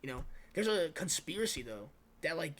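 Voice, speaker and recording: A man's voice: on the high side, big pitch swings, moderate pace, fairly bright tone. A young American man chatting casually as a podcast co-host, with slangy speech full of swearing. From United States. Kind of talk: casual chat.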